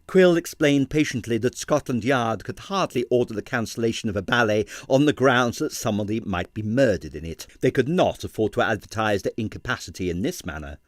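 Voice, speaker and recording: Male storyteller for literary audiobook, mid-range, lively and expressive delivery. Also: medium pitch, a little fast, hardly any pauses, no room sound, studio quality. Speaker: audiobook narrator. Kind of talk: scripted literary narration.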